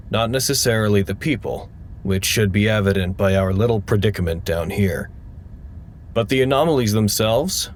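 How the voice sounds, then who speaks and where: A man's voice: on the low side, slight background noise, close to an everyday voice. A man playing a wry, world-weary worker at a secret facility in a naturalistic audio drama.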